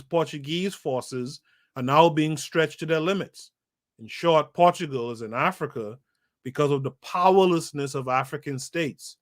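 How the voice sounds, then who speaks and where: A man's voice: a little high, a bit bright. A man with a slightly raised voice reads from a book on his podcast livestream. He stops often to comment, repeats key phrases for emphasis and talks straight to the people watching in the chat. His voice comes through a home streaming mic. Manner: talks to a live chat audience. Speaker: podcast host. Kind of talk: reading a book aloud with live commentary.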